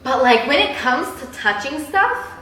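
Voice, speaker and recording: Bright, echoey young woman chatting. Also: high-pitched, measured pace. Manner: giggly, animated, bubbly, exaggerates for effect. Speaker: vlogger. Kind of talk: casual chatty personal vlog. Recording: roomy echo, distant mic, background hiss.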